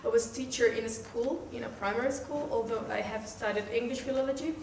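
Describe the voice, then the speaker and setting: A woman's voice: fairly high pitch, brisk. Spanish-accented woman in a roomy, distant recording. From Malaga, southern Spain. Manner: hesitant, searching for words. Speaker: workshop participant. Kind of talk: informal self-introduction to a group. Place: echoey room. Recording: roomy, distant mic, faint noise.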